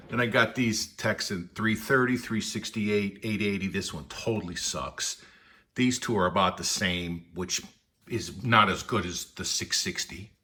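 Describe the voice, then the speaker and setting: A man's voice: fairly low pitch, sharp tone, slight background noise. A man giving a casual, unscripted gear demonstration as a hobbyist, blunt and opinionated in manner. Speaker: radio hobbyist demonstrating equipment.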